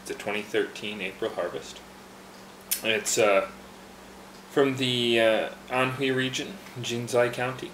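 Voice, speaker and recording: A man working behind a tea bar casually explains how to brew a green tea, talking in a relaxed way with fillers and restarts. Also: medium pitch, measured pace, echoey room, a little hiss or hum, poor audio. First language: English. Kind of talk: casual instructional demonstration.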